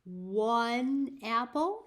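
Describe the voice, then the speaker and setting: Slow-paced American woman, children's storyteller. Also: fairly high pitch, expressive, fairly bright tone. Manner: slow, deliberate phrasing with questions to young listeners. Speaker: library story time host.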